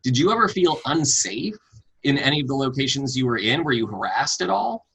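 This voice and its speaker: Man, interviewer, friendly and earnest, bright voice, video call. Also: medium pitch, very animated, brisk, gravelly, breathy edge, poor audio. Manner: friendly, earnest, many fillers. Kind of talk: remote interview.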